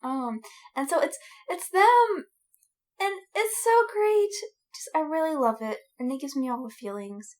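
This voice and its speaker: Female co-host with a somewhat high voice. Enthusiastic, informal fan chat, slangy delivery. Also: animated, a little slow. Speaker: podcast co-host. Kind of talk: casual fandom chat.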